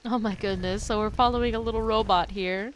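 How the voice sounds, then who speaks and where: A woman's voice: mid-range voice, steady tone, brisk, a little hiss or hum, low-quality recording. A woman streamer giving casual, slangy game commentary, often talking to herself as she plays. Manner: informal, slangy, self-talking. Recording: faint game music behind.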